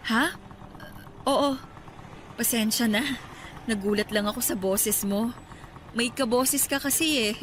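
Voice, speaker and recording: A woman playing a gentle, apologetic bereaved girlfriend riding as a passenger in a radio drama. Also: fairly high pitch, slow pace, long pauses, slight background noise. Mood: startled, amused.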